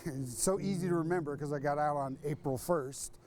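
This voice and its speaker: A man's voice: a little high, moderate pace, music in the background. A middle-aged man, a Navy veteran, answering casually in an interview.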